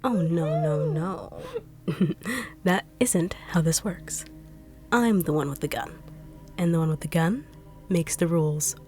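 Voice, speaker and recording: A treacherous bodyguard turned villain, mocking and cold, her lowish voice dropping to quiet threats, as a woman plays her in an audio drama. Faint music plays underneath. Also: slow, pauses often. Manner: taunting, cold, sneering with quiet menace. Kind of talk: audio roleplay acting.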